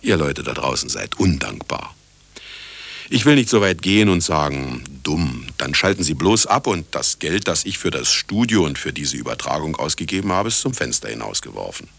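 A sardonic, grandiose broadcaster in a radio drama, lecturing the listeners. His deep voice is gravelly and swoops theatrically from line to line, mocking as it goes. Some ambient noise sits under the speech. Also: big pitch swings, moderate pace, fairly bright tone, heavily acted. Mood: indignant, mocking. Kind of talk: radio play monologue.

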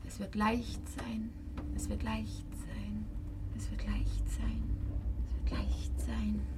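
A woman's voice: mid-range voice, flat delivery, slow, in a whisper, natural voice. A woman playing a wistful, restrained young lover facing a parting in a literary radio play. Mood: hushed, whispering.

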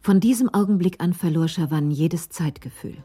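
A woman's voice: lowish voice, moderate pace, mellow, husky. A woman reading scripted narration as the storyteller of a German radio crime drama.

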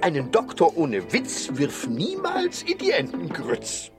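Kindly animal doctor, fairly high voice, hugely varied intonation, witty and patient, children's radio play. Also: male voice, slow pace, over music, full character voice. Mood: reassuring. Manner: expressive, rhyming.

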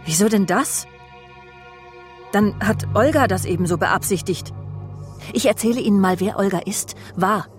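An actress as a self-absorbed woman journalist behind bars in a radio crime play. She is haughty and defensive, slipping into coy pleading, at a middle pitch. Music plays clearly underneath her. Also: moderate pace, long pauses, close to an everyday voice. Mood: indignant, agitated. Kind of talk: German radio crime drama acting.

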